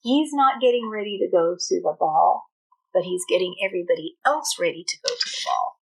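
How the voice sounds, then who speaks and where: A woman teacher reading a storybook aloud to young children, pausing to explain and ask questions. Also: fairly low pitch, expressive, vocal fry, breathy edge, low-quality recording. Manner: explanatory, pauses to question the listeners.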